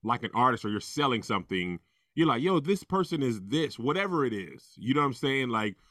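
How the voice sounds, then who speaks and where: A man with a lively, up-and-down voice jokes and makes puns as he hosts a loose group conversation. His voice sounds close and dry, with no room around it. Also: mid-range voice, fairly quick, dry recording. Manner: joking, punning, animated. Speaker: podcast host. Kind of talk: casual group chat.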